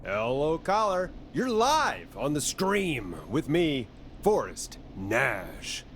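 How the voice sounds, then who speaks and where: Animated, wry radio DJ. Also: male voice, a little high, slow pace, a little hiss or hum, heavily acted. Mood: wry, composed. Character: male late-night radio DJ, wry and put-upon. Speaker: radio host. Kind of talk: video game acting of live radio talk.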